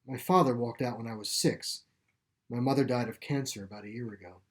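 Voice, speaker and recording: A man's voice: mid-range voice, brisk, lightly acted. A man voicing a guarded, world-weary fifteen-year-old boy in a short story, terse and wary. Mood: bitter, guarded. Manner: wary, terse, matter-of-fact.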